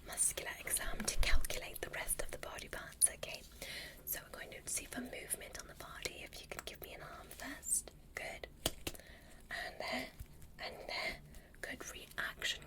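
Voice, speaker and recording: Woman whispering as a curious alien doctor. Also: lightly acted. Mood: curious, clinical. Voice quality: breathy whisper. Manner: gentle, unhurried, formal and inquisitive. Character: calm, curious alien doctor examining a human. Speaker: ASMR roleplay performer. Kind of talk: ASMR medical exam roleplay.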